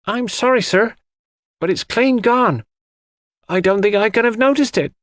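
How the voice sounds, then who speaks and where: A man's voice: sits high, unhurried, fairly bright tone, no echo, studio quality, pitched above the performer's own voice. A man voicing a young housemaid, a deferential, eager and easily flustered servant in an audiobook mystery. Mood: apologetic.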